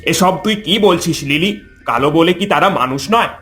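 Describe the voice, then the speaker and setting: Male actor as troubled cartoon fox, protective, plaintive, earnest, slightly high voice, soft music underneath. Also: expressive, moderate pace. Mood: reproachful. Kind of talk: cartoon story voice acting.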